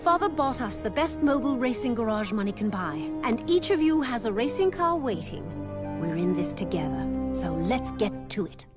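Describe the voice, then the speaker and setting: An actress playing a proud, earnest young heiress in a video game, speaking in an assertive manner. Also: female voice, fairly high pitch, very animated, normal speed, over loud music. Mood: determined.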